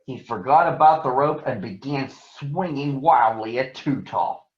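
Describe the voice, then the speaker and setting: A man reading a children's story aloud in an expressive, deliberate storytelling manner. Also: mid-range voice. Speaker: storybook reader. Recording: roomy echo, dull lo-fi sound.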